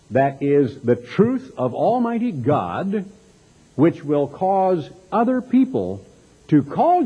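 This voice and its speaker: A dull, lo-fi recording of a man preaching with a Texas accent. His voice is fairly high and husky, swinging widely as he declaims scripture and adds emphatic, pointed commentary. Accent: American, Texas. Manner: emphatic, dramatic delivery with rhetorical questions and mocking asides. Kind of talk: sermon with scripture reading and commentary.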